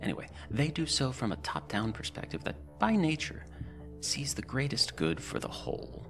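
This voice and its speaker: A man's voice: medium pitch, sing-song, normal speed, music in the background. A man playing a politely condescending, bureaucratic mental-care technician with sinister reassurance, in an ASMR roleplay. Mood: smug, reassuring. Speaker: roleplay performer. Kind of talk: ASMR roleplay, soft clinical patter.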